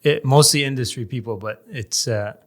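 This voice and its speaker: A man from Canada, a guest in a casual interview, talking in a measured way and often pausing to search for words. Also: mid-range voice. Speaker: podcast guest, real estate developer.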